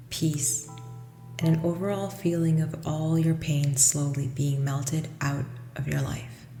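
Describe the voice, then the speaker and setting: A woman leading a guided meditation, speaking in a gentle, unhurried, instructional way with long pauses between phrases. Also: low-pitched, vocal fry. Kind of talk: guided meditation, slow soothing scripted narration. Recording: echoey long reverb with music underneath. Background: music.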